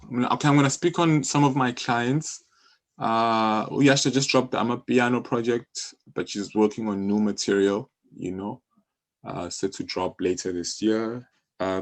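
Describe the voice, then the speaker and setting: A man's voice: fairly low pitch, vocal fry. A South African man speaking informally in a casual interview as a guest, thinking aloud as he goes. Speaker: music publisher, interview guest.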